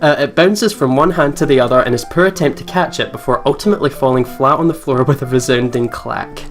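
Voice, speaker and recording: A man's voice: medium pitch, brisk. Male let's play host, mid-range voice, narrating story text with casual asides, light guitar music behind. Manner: informal, giggly, sweary asides. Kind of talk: reading a visual novel aloud with casual reactions. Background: game's guitar music.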